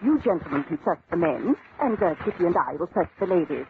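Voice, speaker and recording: An actress playing a haughty, scheming middle-aged aristocrat, imperious and affectedly genteel, in old-time radio drama style. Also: female voice, fairly low pitch, very animated, fairly quick. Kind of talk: 1940s radio acting. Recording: tinny, noisy old-time radio recording.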